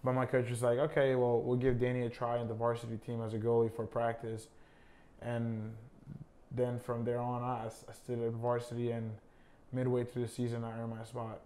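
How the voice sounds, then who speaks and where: A young college soccer player from Honduras, a native Spanish speaker, talking casually in an interview with many fillers. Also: male voice, medium pitch, moderate pace. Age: young adult. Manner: relaxed, many fillers like "I mean" and "uh".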